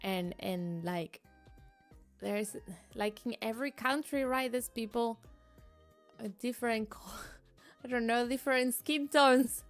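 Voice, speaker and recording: A chatty woman, talking loosely and laughing between thoughts. Her voice sits a little high. Quiet music plays underneath her. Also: slow pace, long pauses. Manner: giggly, chatty, frequent laughs and restarts. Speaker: VTuber streamer. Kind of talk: casual chat reading live chat.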